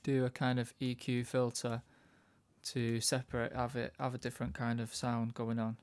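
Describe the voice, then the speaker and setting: A man giving a casual, informal music software tutorial, thinking aloud as he works. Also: mid-range voice, completely flat delivery, normal speed, clear, resonant tone.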